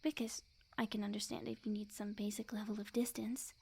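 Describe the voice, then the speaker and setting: A woman playing a sweet, clingy, lovesick young witch in an ASMR roleplay, speaking softly and breathily in an intimate, coy, earnest way. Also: medium pitch, flat delivery, fairly quick. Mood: tender, hopeful. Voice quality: soft, breathy, often near-whisper.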